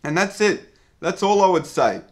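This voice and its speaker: Clear-spoken man teaching. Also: high voice. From Australia. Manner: clear, measured, even-paced explaining. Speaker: English teacher. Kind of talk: scripted lesson to camera. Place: indoor studio with dark backdrop.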